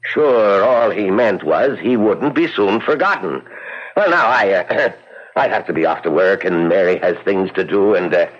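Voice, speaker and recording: An actor playing a folksy, sentimental Irish uncle in a radio drama, telling things in a halting storyteller's way. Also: male voice, low voice, lively intonation. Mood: brisk, evasive. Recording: dull lo-fi radio recording.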